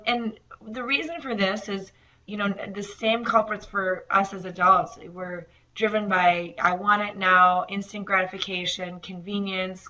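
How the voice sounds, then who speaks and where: Woman, nutrition instructor, slightly raspy, hesitant with fillers, bright tone, muffled lo-fi sound. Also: mid-range voice. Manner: hesitant, many fillers and repeated words. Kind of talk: recorded online lecture.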